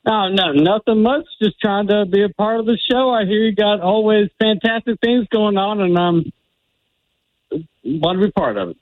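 A man's voice: high voice, moderate pace, no echo. A man in his thirties calling into a radio show, bashful and joking, laughing often. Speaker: caller. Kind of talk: phone call to a radio show. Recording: tinny phone line, faint music.

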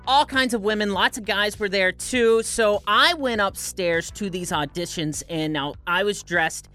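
A man working as a radio producer, telling a prank story on air and pitching made-up shows with a straight face. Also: a little slow, faint music behind. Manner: playful, deadpan pitching of fake shows.

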